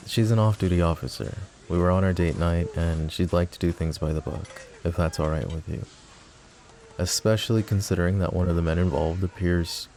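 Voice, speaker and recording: Casting: a man to play a scheming, possessive yandere mafia boss for ASMR roleplay; dark, relaxed low voice, smooth and teasing. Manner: smooth, teasing, mock-polite. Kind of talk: intimate ASMR roleplay acting.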